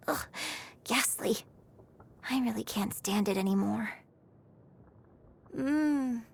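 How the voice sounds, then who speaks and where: An actress playing a refined, gracious young opera star and troupe director in a video game, speaking in a formal, theatrical manner. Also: female voice, sits high, creaky, heavily acted. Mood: weary disgust, then delighted. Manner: genteel, theatrical, formal wording. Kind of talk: video game character voice lines.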